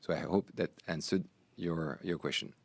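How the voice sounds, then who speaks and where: A man speaking as a chief executive on an earnings call, answering in a measured, carefully qualified way. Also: extremely low pitch, gravelly, no room sound. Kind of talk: earnings call Q&A, unscripted explanation.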